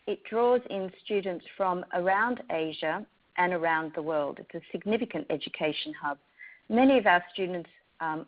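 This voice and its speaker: A woman with an Australian accent, a university lecturer giving a thoughtful talk with frequent ums and restarts. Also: fairly low pitch. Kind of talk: prepared talk to educators. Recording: slightly dull lo-fi recording.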